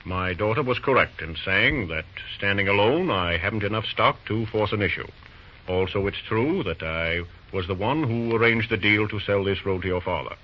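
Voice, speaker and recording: Actor as a deep-voiced pompous railroad president. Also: male voice, normal speed, close to an everyday voice. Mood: firm. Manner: stammering, hemming, self-important. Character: pompous older railroad president, wealthy father, stubborn and scheming. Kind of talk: old-time radio drama acting. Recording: dull, noisy old radio recording.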